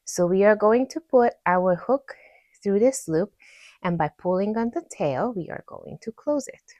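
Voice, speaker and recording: A woman's voice: medium pitch, lively intonation, a little slow, mellow, dry recording. A woman with a Hungarian accent teaching crochet step by step, patiently counting stitches aloud. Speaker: crochet instructor. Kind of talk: step-by-step tutorial.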